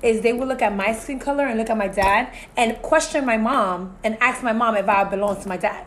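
A woman's voice: a little high, brisk, a bit bright. A Puerto Rican-American woman co-hosting a casual discussion, talkative, full of "you know" and "so it's like". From Puerto Rican family, US-raised. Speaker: podcast co-host.

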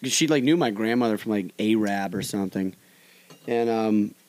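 A man's voice: on the low side, normal speed, a little mellow. A young man, telling rambling, profane anecdotes in casual podcast chat. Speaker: podcast co-host.

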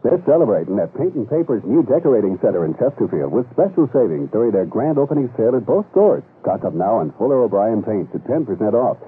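Male retail announcer, rapid lively read, low register, thin slightly noisy radio sound. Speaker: local commercial announcer. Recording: tinny radio broadcast, faint noise.

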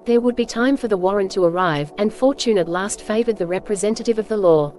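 A woman's voice: mid-range voice, fast talker, darkish tone. A woman reading scripted audiobook narration as the storyteller. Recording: faint music behind narration.

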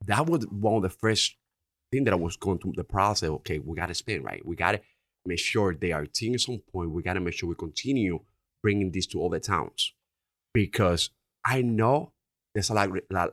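Earnest Dominican-accented man, deep voice. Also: a bit bright, no echo, clean studio sound. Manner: earnest, repeats "right?" often. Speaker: insurance agency owner. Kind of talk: podcast interview.

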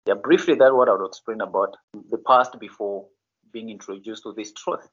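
A Malawian pastor answering interview questions slowly and hesitantly, with many fillers and restarts. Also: male voice, lowish voice, a bit bright, slightly rough, dull audio.

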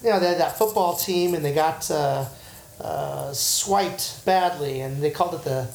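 A man's voice: on the high side, a little slow, gravelly, a little mellow, roomy sound, slight background noise, rough recording. A man co-hosting a podcast who jokes and laughs often in casual chat. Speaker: podcast co-host.

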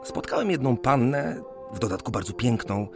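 A bashful young geometer in love played by a man in a period radio drama. His voice is mid-pitched and courteous, with a hesitant, stammering way of talking. Music plays clearly behind him. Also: expressive, normal speed, lightly acted. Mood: eager, polite. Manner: hesitant, stammering when flustered. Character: bashful, lovestruck young geometer in 1820s Poland.

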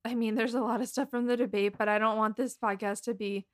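A woman's voice: fairly high pitch, fairly even intonation, fast talker. A young woman from Massachusetts with an American accent, chatting casually and informally as a podcast co-host. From Massachusetts, United States. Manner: conversational, informal, frequent "like". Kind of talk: casual political podcast chat.

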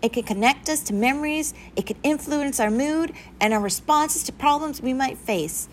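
A woman's voice: high-pitched, normal speed, slight background noise, pitched above the performer's own voice, lightly acted. A woman voicing a stern, principled female music teacher in a storybook, speaking in a firm, instructive way. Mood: calm, instructive.

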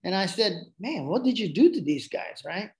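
A man's voice: sits high, big pitch swings, moderate pace, darkish tone. Man with a Japanese accent, roomy sound. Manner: rambling, frequent restarts and asides. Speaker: podcast guest. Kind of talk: casual storytelling.

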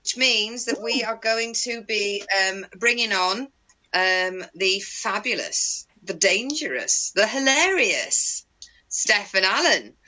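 A woman's voice: medium pitch, expressive, slow pace, pushed and bright, poor audio. A woman hosting a casual online chat show in a jokey, sweary, theatrical manner. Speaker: podcast host.

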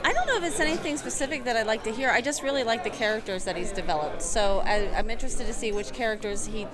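A woman's voice: a little high, lively intonation, quick pace. A woman giving casual answers as a fan in a vox-pop interview. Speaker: fan attending an event. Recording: crowded event, music behind. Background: crowd chatter and music.

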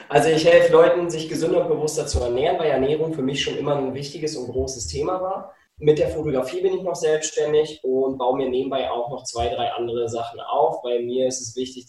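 A man's voice: medium pitch, a little mellow, distant mic. A German man in his thirties, a guest telling travel stories in a casual video-call interview, eager and rambling. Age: 30s. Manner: enthusiastic, rambling storyteller. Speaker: podcast guest. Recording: roomy echo, voice off-mic.

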